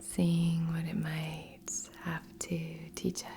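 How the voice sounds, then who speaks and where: Slow, whispering woman guiding ASMR meditation. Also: deep voice, monotone. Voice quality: soft, breathy whispering. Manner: gentle, soothing, unhurried. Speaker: ASMR Reiki host and meditation guide. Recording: soft music under voice. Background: background music.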